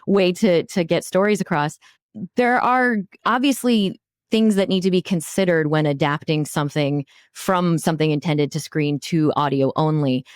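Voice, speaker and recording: A woman with a slightly low voice hosts an interview podcast. She speaks in a casual, conversational style, and the recording is dry with very little room sound. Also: moderate pace, clean tone, no room sound.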